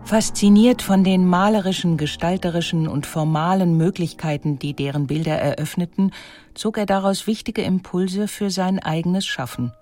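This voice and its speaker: A woman's voice: on the low side, fairly even intonation, normal speed, dark tone, soft background music. A woman reading scripted documentary narration in an articulate, composed manner.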